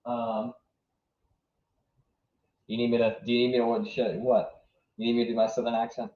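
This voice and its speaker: A man's voice: medium pitch, monotone, normal speed. A young American man from southwest Virginia reacting live to a match as a stream host, rambling casually and thinking aloud as he answers chat. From southwest Virginia, United States. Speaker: livestream host. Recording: dull, echoey room sound.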